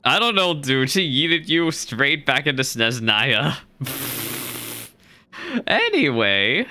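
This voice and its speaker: A man's voice: mid-range voice, very animated, takes it slowly, gravelly, pushed and bright, a little hiss or hum. A young man hosting a gaming reaction video with informal, rambling commentary.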